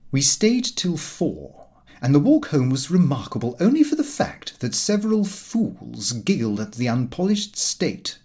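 Guy reading a comic diary, super lively pitch, bit husky, fussy storyteller. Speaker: audiobook narrator. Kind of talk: scripted first-person narration of a comic diary.

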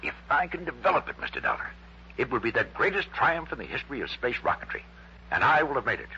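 A man's voice: medium pitch, huge pitch swings, fast, vocal fry, bright, forceful tone, slight background noise, exaggerated voice. An actor playing a proud, grandiose man running a secret project in a 1960s radio drama, speaking formally and with pompous emphasis. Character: proud, grandiose male head of a rocket-fuel project. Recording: dull, humming early-1960s radio recording.

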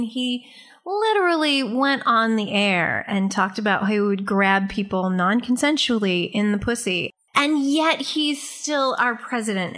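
Bright, outspoken woman co-host. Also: mid-range voice. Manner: outspoken, emphatic. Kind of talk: casual talk show.

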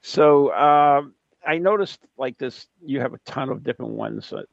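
A man's voice: medium pitch, normal speed, vocal fry, no echo. A man hosting a podcast who interviews his guest casually, affirming with short interjections. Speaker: podcast host. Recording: dull lo-fi sound.

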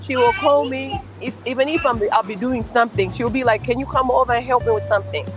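Tanzanian woman guest on a podcast, chatty, kinda high voice, restarts a lot. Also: fast talker, music in the background. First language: Swahili. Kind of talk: casual podcast interview.

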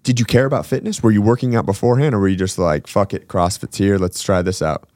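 A man's voice: lowish voice, quick pace, darkish tone, no room sound, studio quality. A man hosting a podcast, interviewing a friend in a laid-back, teasing way. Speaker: podcast host. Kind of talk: casual interview.

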